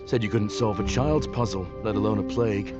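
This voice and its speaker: An actor playing a terse, determined errand-runner in a video game, direct and wry. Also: male voice, on the low side, fairly quick, loud music behind the voice, close to an everyday voice. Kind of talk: video game cutscene acting. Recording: slightly muffled audio.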